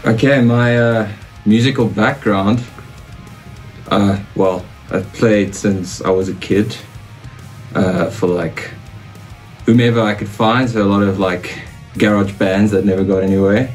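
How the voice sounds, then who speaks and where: A young South African man with a lowish voice chats loosely, full of "uh" and "like". He sits in a small home studio, and some quiet music plays beneath him. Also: measured pace, rough recording. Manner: relaxed, rambling with fillers. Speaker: guitarist. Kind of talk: casual interview.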